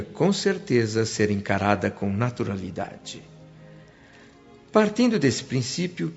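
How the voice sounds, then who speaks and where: A Brazilian actor playing an earnest, wondering man in the spirit world, narrating his own story in a reflective, expressive, literary way for a radio drama. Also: mid-range voice. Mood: anxious, reflective. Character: spirit of a deceased husband and father, earnest, wondering newcomer. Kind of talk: radio drama acting and first-person narration. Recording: dull lo-fi radio drama over music.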